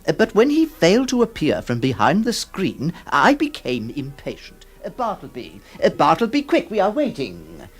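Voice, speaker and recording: A man's voice: fairly high pitch, wildly swooping pitch, normal speed, hardly any pauses, slightly rough, soft background music, exaggerated voice. An actor playing a flustered, hesitant lawyer who stammers, also narrating his story in a radio drama. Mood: reflective. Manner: stammering, exasperated, theatrical.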